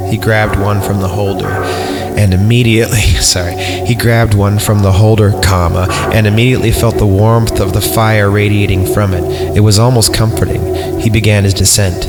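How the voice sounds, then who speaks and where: A man hosting a podcast, reading a horror story aloud. He reads the punctuation out, stumbles over lines and breaks off into joking asides. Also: lowish voice. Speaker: podcast host.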